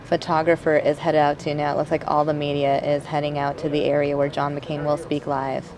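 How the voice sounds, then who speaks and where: Low-voiced female anchor filling live air. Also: completely flat delivery, fairly quick, noisy background. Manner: speculative, filling time with hedges and "uh". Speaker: news anchor. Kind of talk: live news commentary over pictures.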